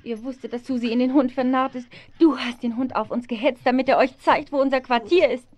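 Troubled mother, high voice, plaintive, accusing, children's radio drama. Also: fairly even intonation, fairly quick. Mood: distressed, reproachful. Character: harried, reproachful mother hiding with her family. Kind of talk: German children's radio play acting.

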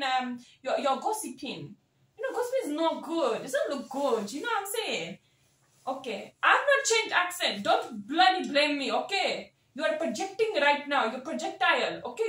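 A woman putting on a bossy, gossiping auntie who rules over her community, speaking in high-pitched Indian English with clipped, broken grammar and quick scolding asides. The voice sits well back from the mic in a roomy, echoing space. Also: fairly bright tone. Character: bossy gossiping middle-aged auntie, self-declared community elder. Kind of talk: comic character monologue. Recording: roomy sound from a distant mic.

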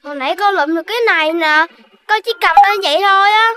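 A performer voicing an excitable young puppy boy in a children's cartoon, talking in a childlike, exclamatory way. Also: very high voice, fast. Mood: puzzled. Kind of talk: animated children's cartoon dubbing.

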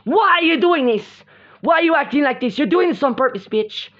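A woman's voice: high-pitched, animated, normal speed, fairly bright tone. A woman voicing a dramatic young girl in an improvised role-play, emphatic and repetitive. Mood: indignant. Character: dramatic, indignant young girl, anxious and pleading. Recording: dull lo-fi sound.

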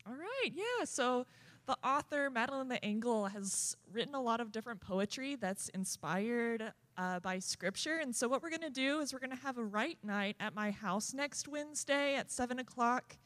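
A woman on staff giving a casual, chatty, slightly rushed announcement from a stage. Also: a little high, animated, a bit bright. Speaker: staff member making an announcement. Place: large auditorium stage. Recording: long hall reverb.

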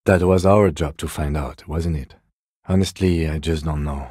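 An actor playing a composed, weary police detective in a video game, with dry, deadpan, measured delivery. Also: male voice, very deep voice, breathy edge, no room sound. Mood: dry, restrained.

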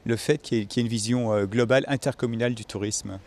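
A man's voice: medium pitch, fairly even intonation, quick pace, noisy background. A man working as a local reporter, presenting and asking questions. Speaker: reporter, interviewer. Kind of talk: news report narration and interview questions.

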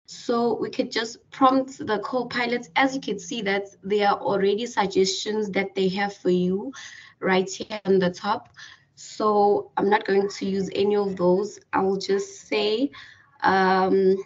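Woman, software tutorial voice-over, hesitant, distant dull mic. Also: mid-range voice, little pitch movement, measured pace, slight background noise. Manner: hesitant, many fillers and restarts. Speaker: tutorial presenter. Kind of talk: screen-recorded software tutorial. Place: voice-over on screen recording.

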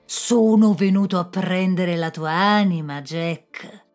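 A woman's voice: on the low side, takes it slowly, darkish tone, faint music behind. A woman voicing a menacing male devil who roars commands when trapped.

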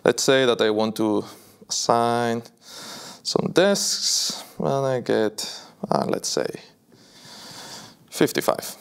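Young man demonstrating software. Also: medium pitch, slow pace, vocal fry, long pauses, smooth. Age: young adult. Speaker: software product presenter. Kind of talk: live software demo walkthrough. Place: indoor video studio.

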